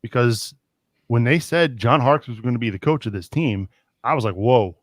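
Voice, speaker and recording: A man's voice: medium pitch, normal speed, no room sound, studio quality. Conversational male podcast host. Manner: conversational, steering the talk with questions. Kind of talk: casual sports podcast chat.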